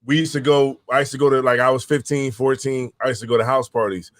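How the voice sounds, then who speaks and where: A man hosts a relaxed group conversation at an easy middle pitch. He rambles and stumbles over his words, circling back before he finishes a thought. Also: fast. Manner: rambling, repeats words while talking. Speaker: host. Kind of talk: casual group chat.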